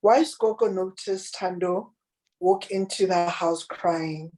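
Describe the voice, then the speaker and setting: Husky raspy woman reading a kids book, slow and gentle, mellow low tone. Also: poor audio. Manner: gentle, unhurried reading aloud with picture commentary. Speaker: author reading her own picture book. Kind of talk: children's storybook reading, then casual chat.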